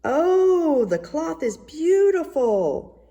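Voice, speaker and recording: A woman's voice: high-pitched, sing-song, extremely slow, mellow, pitched above the performer's own voice, exaggerated voice. A woman acting a vain, insecure male emperor in a storybook, theatrical and exclaiming. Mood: false delight.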